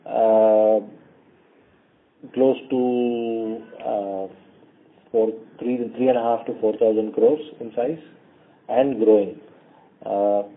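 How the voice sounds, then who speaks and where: Man, Indian accent, fairly low pitch, halting measured executive answers, narrowband phone conference line. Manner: halting, measured, hedging, frequent long hesitations. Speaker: company executive answering analysts. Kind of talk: earnings call question and answer. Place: conference call.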